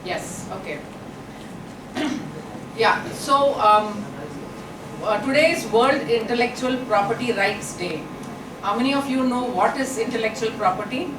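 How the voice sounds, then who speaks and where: A middle-aged woman with a loud, fairly high voice speaks to a room of students, chatty and engaging. She sounds set back from the microphone in an echoey college hall. A noisy hum of ambience runs under her talk. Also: measured pace, pauses often, recorded from a distance. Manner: chatty, engaging, asks the audience questions. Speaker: invited guest speaker.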